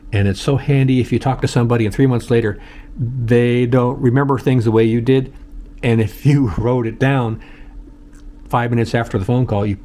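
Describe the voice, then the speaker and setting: An older American man giving a casual, unscripted tutorial in a halting, plain-spoken way, often repeating himself. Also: mid-range voice, dark tone, slight background noise. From United States. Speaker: host of a how-to video channel.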